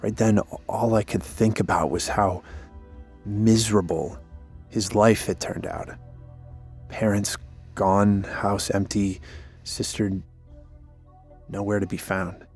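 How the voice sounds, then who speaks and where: An actor playing a guilt-ridden, defensive former park warden in an audio drama, telling things in a weary, halting way. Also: male voice, fairly low pitch, fairly even intonation, darkish tone, soft background music. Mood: somber, haunted. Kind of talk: cinematic audio drama acting, naturalistic.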